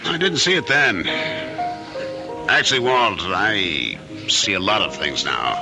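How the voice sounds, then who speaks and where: A man's voice: on the low side, big pitch swings, takes it slowly, vocal fry, music in the background, heavily acted, poor audio. An actor playing a resentful, insecure middle-aged man in an old-time radio drama. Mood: nostalgic, confiding.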